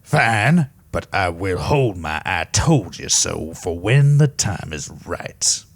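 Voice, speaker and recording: A gruff male griffin acted by a man for an audio drama, creaky and husky. He is stubborn and grave, and his delivery swings widely as he spells out his warnings. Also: deep voice, sing-song, slow pace, no echo, exaggerated voice. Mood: grudging.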